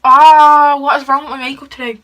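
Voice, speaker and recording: A teenage girl chats her way through a makeup vlog, easygoing and full of fillers. Her voice is bright and slightly high, with a clear, sunny ring to it. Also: little pitch movement, brisk. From Ireland. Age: teens. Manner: chatty, giggly, lots of "like" fillers. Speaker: beauty vlogger. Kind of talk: casual get-ready-with-me chat.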